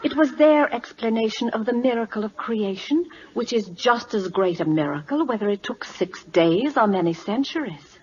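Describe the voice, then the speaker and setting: A principled, outspoken royal governess played by a woman in a vintage radio drama, heard on a muffled old recording. She speaks with earnest, lively expression and careful articulation, staying polite but standing firm. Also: a little high, moderate pace, hardly any pauses, natural voice. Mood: flustered, earnest. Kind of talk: 1940s American radio acting.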